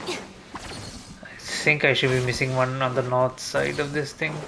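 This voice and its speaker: A man's voice: medium pitch, little pitch movement, measured pace, vocal fry, noisy background. A man giving casual gameplay commentary as a streamer, thinking aloud in a rambling, mildly sweary way. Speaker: game streamer.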